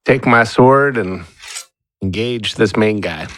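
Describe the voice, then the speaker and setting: A man's voice: fairly low pitch, takes it slowly, fairly bright tone. A man talking casually as a player in a tabletop game. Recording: noisy track with clicks.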